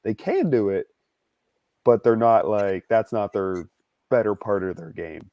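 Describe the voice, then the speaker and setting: A man's voice: lowish voice, a little slow, dark tone, no room sound. A man in his twenties giving casual football analysis as a draft analyst. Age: 20s.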